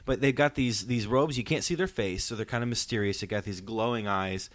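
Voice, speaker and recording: A middle-aged man hosts a casual podcast chat. His voice sits on the low side, and the sound is very dry, with no room to it. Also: a little fast, a bit bright, no room sound. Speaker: podcast host.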